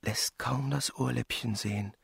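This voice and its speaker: An actor playing a smitten country doctor in a literary radio play, musing in a hushed inner monologue. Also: male voice, medium pitch, fairly even intonation, unhurried, vocal fry, husky, no room sound, studio quality, heavily acted. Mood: entranced, musing. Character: smitten young country doctor, wistfully admiring a woman.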